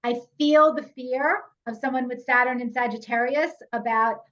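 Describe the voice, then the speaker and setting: A woman's voice: fairly high pitch, moderate pace, fairly bright tone. A woman hosting an astrology podcast in casual conversation, speaking animatedly and addressing her listeners directly. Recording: muffled lo-fi audio.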